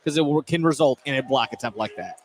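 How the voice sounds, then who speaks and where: A man's voice: mid-range voice, fast. A man giving conversational color commentary on a live basketball game from inside a gym. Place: indoor high school basketball gym. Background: faint gym noise.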